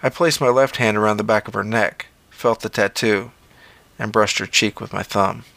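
A man's voice: on the low side, steady tone, normal speed, gravelly, pushed and bright, dry recording. A man narrating a scripted first-person story as an audio fiction reader.

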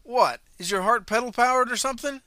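A man voicing a gruff, irritable driver who talks with blunt scorn and sarcasm. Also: on the high side, lively intonation, unhurried, a bit bright, dry recording, studio quality. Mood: angry sarcastic.

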